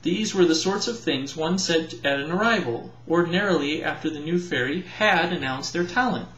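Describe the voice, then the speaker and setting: A man's voice: fairly high pitch, normal speed, a bit bright. A man narrating a children's storybook aloud, as a parent reading to children. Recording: roomy echo, slightly dull home recording.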